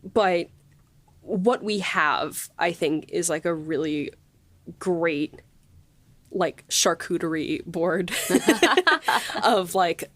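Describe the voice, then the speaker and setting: A woman hosting a casual behind-the-scenes chat, gushing in a halting, conversational way. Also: on the low side, expressive, fairly bright tone, dry recording. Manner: gushing, halting, peppered with 'like'. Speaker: podcast host and series writer.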